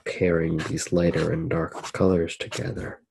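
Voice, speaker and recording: A man narrating his drawing for ASMR in a soft-spoken, unhurried way, musing aloud as he works. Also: low-pitched, flat delivery, gravelly, noisy background. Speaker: ASMR artist.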